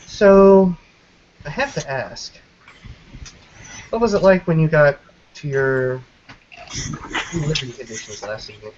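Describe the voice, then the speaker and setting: A man's voice: on the high side, takes it slowly, long pauses, background noise. A man playing a polite, poised and curious woman in a tabletop roleplaying game, speaking carefully and conversationally. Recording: slightly dull lo-fi mic.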